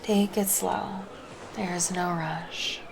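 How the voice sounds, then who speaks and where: Woman plays flirty, caring female lifeguard for ASMR roleplay; unhurried, lowish voice, soft and reassuring. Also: steady tone, gravelly, heavily acted. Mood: soothing, reassuring. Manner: soft, intimate, casual, reassuring. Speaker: roleplay voice performer. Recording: background noise under the voice.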